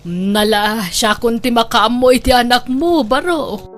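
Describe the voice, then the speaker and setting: A woman's voice: fairly high pitch, a little slow, natural voice. An actress with an Ilocano accent playing a concerned, motherly older woman in a radio drama, giving insistent advice.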